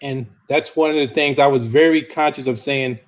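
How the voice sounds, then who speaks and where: A man speaking as a panelist in an earnest conversation, deliberate and repeating himself for emphasis. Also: medium pitch, steady tone. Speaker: discussion panelist.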